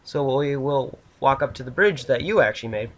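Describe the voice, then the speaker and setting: A man's voice: mid-range voice, lively intonation, brisk. Casual man chatting over voice call. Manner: rambling, hesitant, upbeat. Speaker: channel host. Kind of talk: casual gaming chat.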